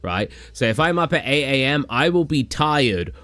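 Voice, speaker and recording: A man's voice: medium pitch, big pitch swings, normal speed, bright, forceful tone, no echo. A young man from England, chatting casually on a live stream and answering chat questions in a tired, rambling way. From southern England. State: tired, low energy.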